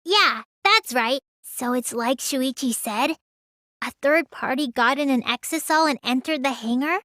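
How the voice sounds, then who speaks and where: High-pitched, sulky teenage girl. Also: unhurried, pushed and bright, no echo, studio quality. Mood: earnest, reasoning. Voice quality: high, girlish. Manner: whiny, stammers when startled. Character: small, childlike teenage girl mage, sulky and excitable. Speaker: video game voice actress. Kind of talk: English game dub voice lines.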